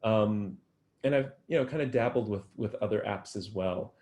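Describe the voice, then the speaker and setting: Guy w a lowish voice talking thru study results, lots of um and uh, thoughtful. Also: normal speed, a little mellow. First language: English. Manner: thoughtful, many ums and restarts. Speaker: guest researcher. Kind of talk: academic interview answers.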